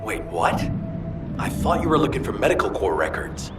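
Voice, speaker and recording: A man voicing an earnest, measured male operations coordinator in a video game. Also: lowish voice, very animated, music in the background. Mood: baffled. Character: earnest male operations coordinator, level-headed but easily baffled. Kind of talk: dramatic video game voice acting.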